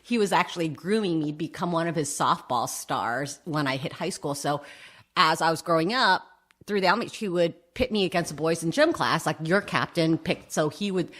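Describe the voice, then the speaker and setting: A middle-aged woman with a low voice talks as a guest in a casual interview. She tells her own story candidly, with self-deprecating humour. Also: normal speed, fairly bright tone. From United States, Vietnamese immigrant family, arrived aged three. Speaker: interview guest, drag king and public speaker. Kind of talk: animated personal storytelling in casual chat.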